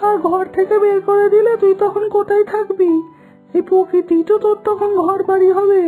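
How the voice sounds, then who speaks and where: A woman acts an unworried, teasing young boy in a cartoon. The voice is shrill and cocky, recorded thin and narrow, with faint music underneath. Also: level tone, moderate pace. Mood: teasing, dismissive. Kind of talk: children's cartoon acting.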